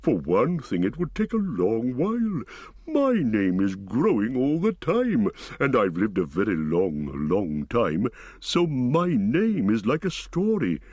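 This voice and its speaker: An ancient giant tree-shepherd, as a man reads him aloud in a storybook recording, speaking with great slowness and a lively, rising and falling pitch. He hums and muses between thoughts, never in a hurry, and the close, dry recording keeps every rumble near. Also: slightly rough, no echo, heavily acted. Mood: unhurried. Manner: slow, deliberate, musing with hums. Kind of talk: audiobook character reading.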